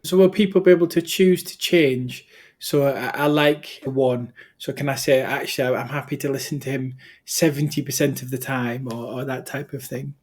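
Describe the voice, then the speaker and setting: A man's voice: medium pitch, fairly even intonation, normal speed, a little mellow. Rambling man hosting an interview. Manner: rambling and anecdotal, with many restarts. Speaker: interview host. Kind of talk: interview conversation.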